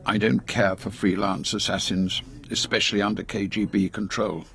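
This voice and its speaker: An actor playing an authoritative older British spy chief, brisk and ruthless, with clipped, formal delivery in a radio drama. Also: male voice, on the low side, level tone, creaky, fairly bright tone. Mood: grave, resolute.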